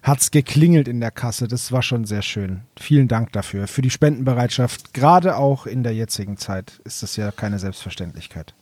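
A man with a dark, mellow voice of medium pitch chats casually as a podcast co-host. The sound is very dry, with no room around it. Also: normal speed, few pauses, no echo. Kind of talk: casual chat.

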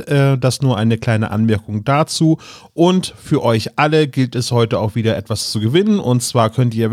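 A man's voice: medium pitch, a little mellow, dry recording, clean studio sound. A man giving explanatory podcast announcements.

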